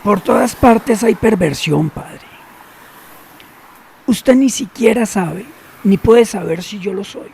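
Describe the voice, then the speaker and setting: An actor playing a secretive, cynical older man in a radio drama, who talks slowly and knowingly and drops to near whispers. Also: sits high, gravelly, a little mellow, slightly rough, exaggerated voice. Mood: sly.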